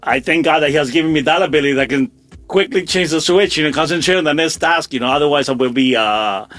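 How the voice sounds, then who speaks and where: A middle-aged man answering as an interview guest in a casual, modest way, often halting and repeating himself. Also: fairly high pitch, fairly bright tone.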